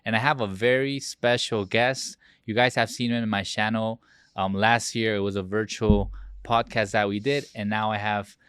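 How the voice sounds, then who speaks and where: Host: adult man, steady low voice, little melody, admiring, stumbles on starts. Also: moderate pace, smooth, no echo, clean studio sound. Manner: warm, admiring, repeats words when starting. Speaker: podcast host. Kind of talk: interview hosting.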